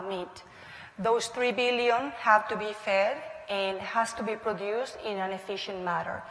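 A middle-aged woman from Mexico with a Mexican accent, speaking as a panelist in measured, listed points with frequent pauses. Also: medium pitch, fairly bright tone, big-room sound, poor audio. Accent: Mexican Spanish. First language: Spanish. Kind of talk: panel discussion. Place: conference stage in a large hall. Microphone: lapel.

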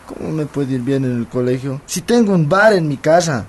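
A man's voice: a little high, expressive, normal speed. A young man with a Bolivian accent playing an angry, resentful schoolboy in a radio drama. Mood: bitter, worried. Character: angry, resentful schoolboy son of drinking parents. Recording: clearly noisy scene ambience.

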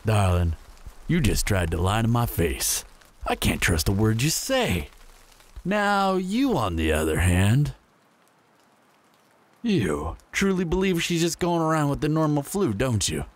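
A man's voice: medium pitch, big pitch swings, a little slow. A man playing a menacing, smug apocalypse bandit in an audio roleplay, taunting and mock-friendly with a drawl.

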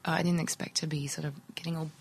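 An Australian woman in her thirties talks casually in an interview, with a voice pitched a little on the low side. She chats warmly and laughs easily, often trailing off and restarting her thoughts. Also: little pitch movement, rapid-fire, gravelly, slightly rough. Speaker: actress guest.

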